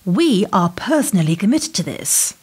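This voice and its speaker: An actress playing a cool, menacing establishment power broker in a radio play, with a sardonic, condescending and rhetorical delivery. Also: female voice, medium pitch, very animated, moderate pace, slightly rough. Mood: pressing, condescending.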